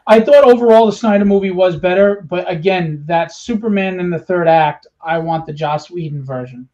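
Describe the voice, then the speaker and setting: A man with a fairly high voice talks films casually on a panel. He is opinionated and halting in delivery, and the sound is dull and lo-fi. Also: dark tone. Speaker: roundtable panelist. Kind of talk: casual chat about films. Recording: dull lo-fi band-limited audio.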